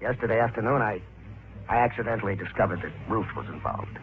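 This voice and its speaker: A man's voice: lowish voice, animated, quick pace, close to an everyday voice. Actor as earnest accused man, thin radio sound. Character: earnest, suspicious husband wrongly accused of murder. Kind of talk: 1940s-50s radio drama acting. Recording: narrow, noisy old radio recording.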